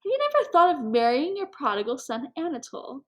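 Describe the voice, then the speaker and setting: A woman's voice: high-pitched, wildly swooping pitch, a little fast, voice pushed up. A woman reading a storybook as an effusive, courtly society hostess who confides and meddles with an air of melancholy. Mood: coaxing.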